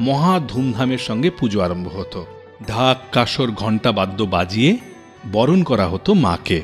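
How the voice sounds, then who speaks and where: A man hosting a radio show, telling history in scripted, expressive storytelling narration. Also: mid-range voice. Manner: expressive, dramatic storyteller pacing. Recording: reverberant narration over faint music.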